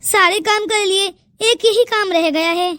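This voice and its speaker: A woman's voice: very high-pitched, a little slow, sharp tone, dry recording, heavily acted. A woman voicing a poor, plaintive young village woman in an emotional cartoon moral story. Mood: amused, willing. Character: poor, tearful but willing young village woman, Payal. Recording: music behind the dialogue.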